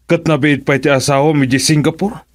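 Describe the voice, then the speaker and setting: A man's voice: on the high side, steady tone, fairly quick, dry recording, close to an everyday voice. An actor playing a stern, angry older father of wealth in a radio drama, scolding and indignant.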